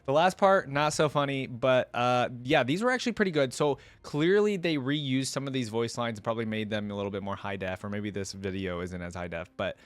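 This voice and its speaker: A man's voice: medium pitch, a little fast, few pauses, no room sound. A man hosting a reaction video, giving casual, off-the-cuff commentary.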